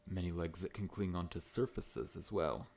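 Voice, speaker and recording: A man playing a large, friendly scorpion monster dentist in an ASMR roleplay, talking in a gentle, reassuring, professional way. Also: low-pitched, moderate pace, creaky, a little hiss or hum. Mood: polite, welcoming.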